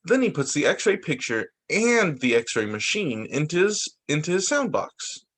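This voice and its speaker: A man working as a teacher, reading storybooks aloud for young children in an expressive way with informal asides. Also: fairly high pitch, measured pace.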